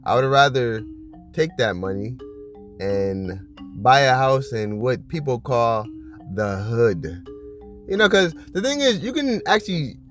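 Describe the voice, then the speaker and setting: A man hosting a casual video, talking loosely with fillers and frequent laughs, reading list items aloud, his voice bassy from just waking up. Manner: rambling, laughs often, many fillers. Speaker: vlogger host.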